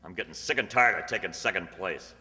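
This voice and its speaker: A man's voice: low voice, a little fast, pushed and bright. A man playing a tough undercover police detective in an old-time radio crime drama, terse and hard-boiled. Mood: angry. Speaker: actor and first-person narrator in a radio drama. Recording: echoey hall, music behind.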